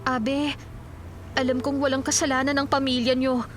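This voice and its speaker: A woman acting as a loyal young Filipina in a radio drama. Her high voice is earnest and urgent with an anxious edge, and music plays clearly behind her. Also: flat delivery, normal speed, natural voice.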